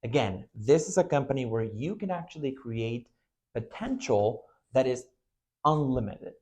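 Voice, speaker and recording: A man's voice: mid-range voice, animated, a little slow, darkish tone. A man from Venezuela in his twenties, an agency owner speaking earnestly in a recruitment video, with frequent "you know" and "right" fillers.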